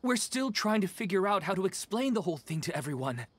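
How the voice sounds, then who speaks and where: A man's voice: high voice, very animated, fast talker, slightly rough, full character voice. An actor playing an anxious, deferential young male villager in a video game, apologetic and worried.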